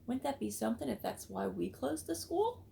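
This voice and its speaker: A woman's voice: on the high side, very animated, normal speed, creaky, warm tone, a little hiss or hum. A woman reading a children's storybook aloud expressively, with chatty asides to listeners. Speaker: read-aloud book club reader.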